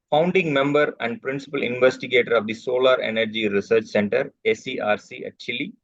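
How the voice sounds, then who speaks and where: A man, hosting an online lecture and formally reading out a guest's credentials in measured bursts. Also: mid-range voice, a bit bright, dry recording. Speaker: host introducing a guest speaker. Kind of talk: formal reading of a speaker introduction. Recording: dull, dry online call audio.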